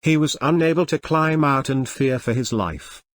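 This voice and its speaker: A man's voice: on the high side, moderate pace, dry recording, studio quality. A man narrating a scripted moral story for a cartoon. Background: faint music.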